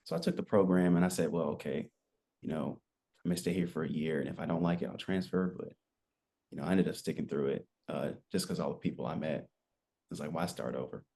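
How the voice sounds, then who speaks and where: A man in his twenties telling his story as a podcast guest, relaxed and rambling, with lots of fillers. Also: extremely low pitch, fairly quick, vocal fry. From United States. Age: 20s. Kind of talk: casual interview chat.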